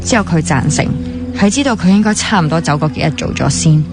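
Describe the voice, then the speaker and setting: Radio drama role: young Hong Kong woman doctor, earnest and teasing, lower register, Cantonese accent, clear music bed. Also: animated, fairly quick. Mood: earnest, reflective. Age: young adult. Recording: music playing behind the dialogue.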